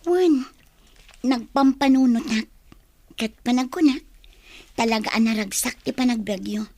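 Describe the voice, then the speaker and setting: A woman's voice: a little high, measured pace, a little mellow, no room sound. A woman playing a headstrong, resentful young student in an Ilocano radio drama, speaking with defiance. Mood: weary, resolved. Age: young adult.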